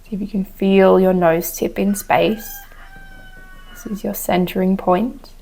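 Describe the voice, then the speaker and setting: Female meditation guide. Flat, steady melody, noticeable vocal fry, gentle and unhurried delivery. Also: medium pitch, poor audio. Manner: gentle, unhurried instruction with soft pauses. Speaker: yoga and meditation teacher. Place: outdoors on a wooden deck. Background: chickens and a rooster.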